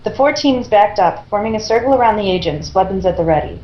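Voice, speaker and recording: A woman narrates a story, reading aloud in an unpolished amateur way. Her pitch is in the middle range. The room adds a clear echo. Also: expressive, fast, highs cut off. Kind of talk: reading fan fiction aloud, narrating and voicing dialogue. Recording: roomy, echoing home recording.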